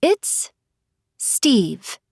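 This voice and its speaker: A man's voice: drawn-out words, a little mellow, no echo, studio quality. A man voicing a mischievous young female AI companion, teasing and perky, in an audiobook. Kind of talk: audiobook character voice.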